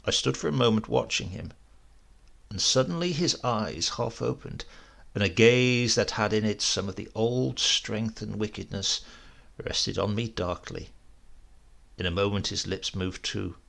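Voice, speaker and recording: Creaky-voiced man reading a story. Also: lowish voice, a bit bright. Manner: measured, descriptive storytelling. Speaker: audiobook narrator. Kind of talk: literary story narration.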